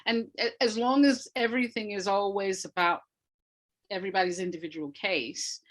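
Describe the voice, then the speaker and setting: A woman speaking as an interview guest, answering conversationally in a reflective, emphatic way with pauses between phrases. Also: medium pitch, fairly even intonation. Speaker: interview guest, arts practitioner.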